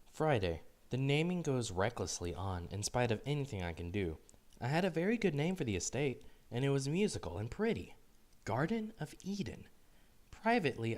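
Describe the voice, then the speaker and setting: A man voicing Adam as a grumpy, bewildered, solitary diarist, weary and fussy, in a deadpan storybook diary reading. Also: lowish voice, lightly acted. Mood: resigned grumbling. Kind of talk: first-person storybook diary narration.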